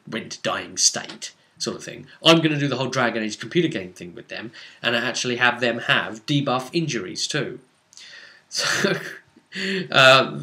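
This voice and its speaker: A man recapping a tabletop role-play session as its game master, telling it excitedly and repeating phrases for emphasis. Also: fairly low pitch. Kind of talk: animated session recap storytelling.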